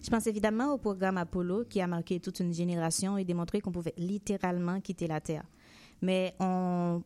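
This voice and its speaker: A woman with a fairly low voice and a dark, mellow tone. She speaks as an interview guest, giving articulate answers that sound prepared in advance. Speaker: interview guest, aerospace industry representative.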